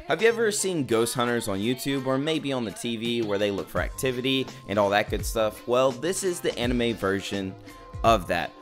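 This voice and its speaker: Man with a fading, strained voice. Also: medium pitch. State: losing his voice. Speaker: anime reviewer. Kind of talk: scripted video commentary. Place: new room at home with echo. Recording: faint music underneath.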